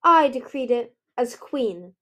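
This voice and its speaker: Woman acting a furious, high-voiced young dragon queen. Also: animated, takes it slowly. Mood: firm defiance. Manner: shouting, choked, spitting out words.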